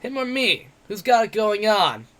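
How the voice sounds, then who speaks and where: A man voicing a brash, mocking, drunk male wolf-man adventurer, loud and jeering. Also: high-pitched, big pitch swings, a little slow, a little hiss or hum, pitched above the performer's own voice, full character voice. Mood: sneering.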